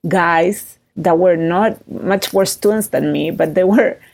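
A woman's voice: fairly low pitch, slow pace, gravelly, a little mellow. A woman in her thirties with a Chilean accent, a startup founder talking animatedly in an interview, full of fillers and rising tags. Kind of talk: interview conversation.

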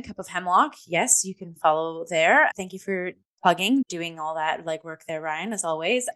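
A woman hosts a casual review talk show. Her voice sits a little low for a woman and has a clear bright edge. She speaks with enthusiasm and leans hard on her key words. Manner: enthusiastic, emphatic. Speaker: theatre review show host.

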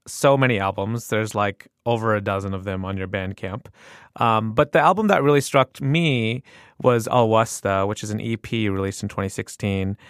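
A man's voice: fairly low pitch, fairly bright tone, dry recording, clean studio sound. A man hosting a podcast interview, eager and chatty, with plenty of "um" and "like". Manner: enthusiastic, uses many fillers. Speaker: podcast host. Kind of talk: casual interview. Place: studio.

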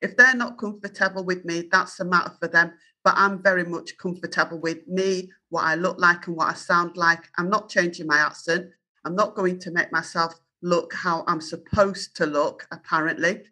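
A woman's voice: lowish voice, fairly even intonation, moderate pace, sharp tone, poor audio. A woman in her fifties with a Yorkshire accent, a journalist answering interview questions in an earnest, firm and self-assured way. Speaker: journalist and guest.

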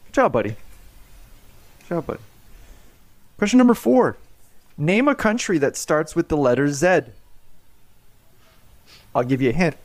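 A man's voice: on the high side, expressive, unhurried, long pauses. A man hosting a trivia game on a podcast, joking and often repeating his words as he reads questions. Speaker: quiz host.

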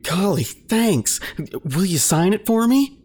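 An actor playing a sardonic, easily exasperated grown son in a naturalistic audio drama. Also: male voice, high voice, very animated, takes it slowly, darkish tone, full character voice. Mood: wry.

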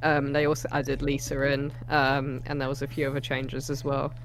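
A man's voice: medium pitch, monotone, moderate pace, gravelly, fairly bright tone, slight background noise. A man co-hosting a reaction show, explaining things in a casual, wry way. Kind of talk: casual movie reaction chat.

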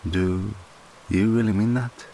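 Guy doing a gentle friend, super low voice, calm and comforting. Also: measured pace, slight background noise. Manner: soft, patient, comforting. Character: gentle male friend consoling a widow. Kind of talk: dramatic dialogue.

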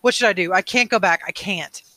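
Man acting a distraught, high-pitched adolescent boy. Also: fairly quick, no echo. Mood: despairing. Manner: emotional, loud, sarcastic when angry. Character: distraught, bitter adolescent boy, weeping and pleading.

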